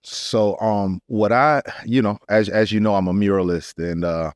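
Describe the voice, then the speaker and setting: A man's voice: on the low side, normal speed, clear, resonant tone, no echo, clean studio sound. A man talking casually on a podcast, in a slangy, plain-spoken way. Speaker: podcast guest, muralist. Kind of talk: casual conversational podcast talk.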